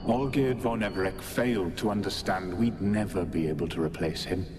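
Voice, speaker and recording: A man's voice: low voice, normal speed, lightly acted. A man playing a grave spirit servant in a video game, speaking in a solemn, formal manner. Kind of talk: dramatic video game dialogue. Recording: reverberant hall effect with noisy ambience.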